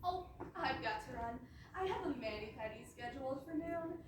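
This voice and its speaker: A woman's voice: high-pitched, expressive, normal speed. A woman playing a sugary, possessive young princess with a menacing edge, gushing theatrically in a comic stage play. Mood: sugary menace. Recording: very echoey hall, far mic, noisy.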